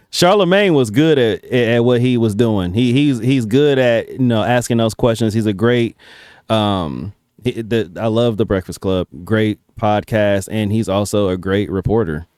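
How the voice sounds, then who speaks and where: A man's voice: lowish voice, a little slow, a little mellow, clean tone, no room sound, clean studio sound. An American man giving casual reaction commentary in colloquial, slangy speech.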